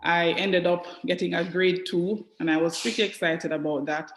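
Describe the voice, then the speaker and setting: A woman's voice: on the low side, steady tone, moderate pace, a little hiss or hum. A middle-aged woman with a Jamaican accent, telling her own story as an interview guest in an echoey room. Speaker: interview guest, dental therapist. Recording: roomy, echoey video call.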